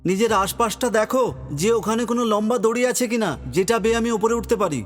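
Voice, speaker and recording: A man's voice: high-pitched, fast, natural voice. A man voicing a brave, kind young prince in a cartoon fairy tale, earnest and reassuring. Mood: determined. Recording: long hall reverb, music underneath.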